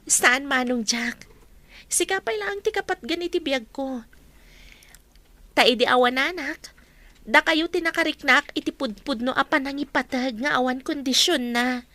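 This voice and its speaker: Young kind lady boss in a radio drama, high bright voice, earnest and emotional. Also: normal speed, pauses often, no echo. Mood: tender, earnest. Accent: Filipino. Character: young woman heiress, kind new boss.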